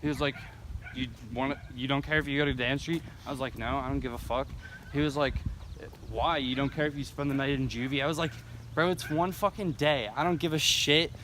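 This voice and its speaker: A man's voice: mid-range voice, steady tone, brisk, fairly bright tone. A young American man chatting casually with friends and telling stories in slangy, profane language. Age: teens to young adult. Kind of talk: casual chat, storytelling among friends. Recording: noisy amateur recording with clear background noise.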